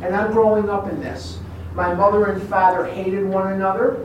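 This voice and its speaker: A man with a Chicago American accent giving a personal testimony speech, emphatic and deliberate, repeating phrases for effect. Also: high-pitched, level tone. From Chicago, USA. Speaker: guest speaker at recovery meeting. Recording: very echoey room, distant mic, clear background noise.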